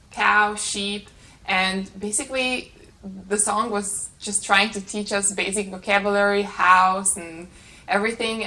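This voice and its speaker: A woman's voice: medium pitch, bright, forceful tone. A woman in her late twenties from Hungary with a Hungarian accent, talking casually to camera as a vlogger. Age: late 20s. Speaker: vlogger, channel host. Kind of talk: casual talk to camera answering tag questions. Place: small carpeted bedroom at home.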